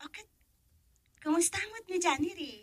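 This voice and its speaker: An actress playing an eager, bubbly young woman in a radio drama, gushing and animated. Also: extremely high pitch, moderate pace, no echo.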